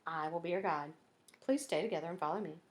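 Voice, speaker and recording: Young female tour guide read by a woman narrator: polite, deliberate, informative, lower register, storybook style. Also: natural voice. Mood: instructive. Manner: clear, deliberate, instructive.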